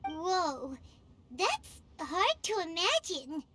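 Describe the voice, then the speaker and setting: A woman's voice: very slow, exaggerated voice. A woman voicing a tiny, curious female fairy companion who talks about herself in third person, in a game with music under her lines. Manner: high-pitched, excitable, speaks of herself in third person. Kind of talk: game voice acting.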